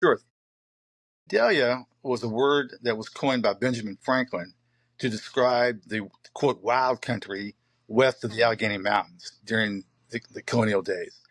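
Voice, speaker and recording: A man's voice: mid-range voice, fairly bright tone, no room sound. Reflective man in his sixties. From southern West Virginia. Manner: unhurried, reflective storytelling with many fillers. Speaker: poet and photographer guest. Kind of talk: relaxed interview talk.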